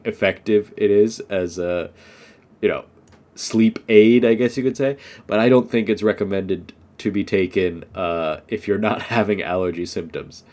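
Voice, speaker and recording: A young American man speaks in a somewhat low voice, his nose clearly stuffed up from allergies. He talks casually and loosely, with frequent "um"s and "like"s, as the host of an unscripted English lesson. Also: a little mellow. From United States. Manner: rambling, many fillers like "um" and "like".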